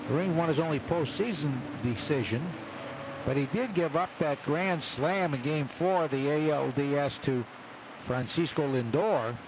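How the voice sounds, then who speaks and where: A man calling live baseball play-by-play on the radio in short, clipped phrases packed with stats between pitches. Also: unhurried. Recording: noisy live outdoor radio broadcast. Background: loud ballpark ambience.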